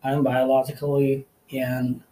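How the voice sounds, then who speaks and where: A man's voice: mid-range voice, monotone, a little slow, mellow, poor audio. Nepali guy talking about college, lots of ums and i means, bit of hiss behind him. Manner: hesitant, many fillers, thoughtful. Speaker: interviewee, college student. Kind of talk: casual interview answers.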